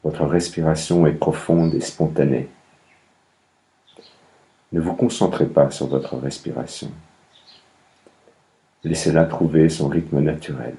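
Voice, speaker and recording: Male meditation guide, very deep pitch, mellow relaxed tone, calm, measured instructions, long pauses. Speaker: yoga nidra meditation guide.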